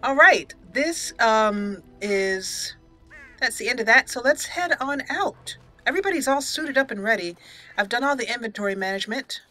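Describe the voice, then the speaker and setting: A woman's voice: on the high side, lively intonation, normal speed, pushed and bright, soft background music. A woman giving casual, playful let's play commentary, chatting to herself and to the game as she goes. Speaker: gaming video host.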